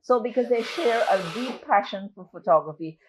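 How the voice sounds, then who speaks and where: A woman telling a story in an interview, lively and acting out the dialogue. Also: fairly high pitch, normal speed, a little hiss or hum, poor audio. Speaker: playwright and producer. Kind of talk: interview anecdote.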